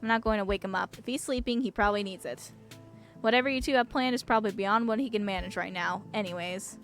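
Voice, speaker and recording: A woman's voice: medium pitch, fast, a bit bright, soft background music, natural voice. A woman playing an irritable, guarded mother and doctor from a visual novel, curt and weary. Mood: curt, irritated.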